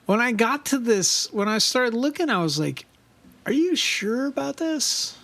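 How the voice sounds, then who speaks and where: A man with a high voice, co-hosting a podcast in a casual chat and telling stories with lots of laughter. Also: measured pace, gravelly, dry recording. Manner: animated, laughs often, trails off and restarts. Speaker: podcast co-host.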